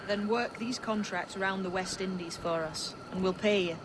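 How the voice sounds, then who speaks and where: Man as blunt assassin, earnest, high male voice, video game acting, slight background noise. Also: moderate pace, close to an everyday voice. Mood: earnest, persuasive.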